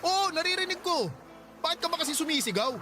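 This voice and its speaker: A man's voice: very high-pitched, animated, quick pace, music in the background. A Filipino man playing a lazy, defensive live-in partner in a radio drama, petulant and dismissive as he talks back.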